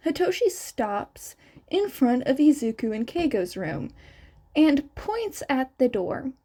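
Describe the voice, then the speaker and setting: A woman's voice: high voice, warm tone. A woman reading fan fiction aloud as scripted story narration.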